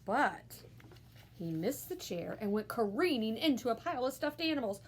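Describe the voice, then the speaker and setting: A woman reading a children's storybook aloud with expressive, theatrical, emphatic narration. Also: on the high side, normal speed, slight background noise. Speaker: school staff member reading aloud.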